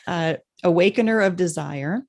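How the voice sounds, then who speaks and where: A woman's voice: on the low side, normal speed. A woman co-hosting a casual spiritual chat, hesitant, with many fillers, stumbling over names. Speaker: podcast co-host.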